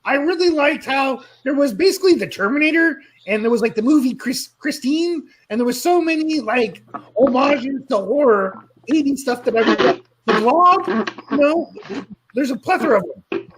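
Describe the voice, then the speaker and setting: Someone voicing a squeaky, crude frog puppet. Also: expressive, moderate pace, noisy background. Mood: enthusiastic. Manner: high, bouncy, squeaky puppet voice. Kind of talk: live puppet comedy chat.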